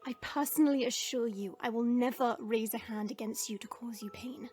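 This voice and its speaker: A woman's voice: a little high, moderate pace, a little mellow, soft background music, natural voice. A woman playing a proud, protective dragon princess in an audio drama, with formal, stately phrasing. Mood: solemn, reassuring.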